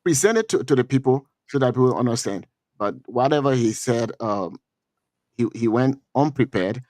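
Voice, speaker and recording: A middle-aged man with a Liberian accent comments on politics for a streamed talk show. He speaks at a middle pitch and halts often, repeating words and filling gaps with "uh." His voice is recorded dry and close to the mic. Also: animated, darkish tone, no echo. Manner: halting, repeats words often, many fillers.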